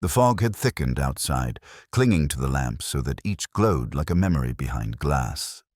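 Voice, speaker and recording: A man reading scripted mystery fiction as an audiobook narrator, in a measured, literary, atmospheric way. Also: very deep voice, big pitch swings, no room sound, studio quality.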